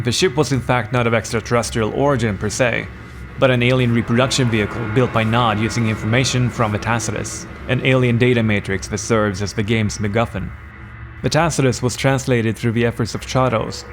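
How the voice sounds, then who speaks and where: A man narrates a scripted lore piece, dropping wry jokes along the way. His voice sits in an ordinary middle register. Music plays clearly under him. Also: mid-range voice. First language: Swedish. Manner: wry, playful asides. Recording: voice-over with music bed.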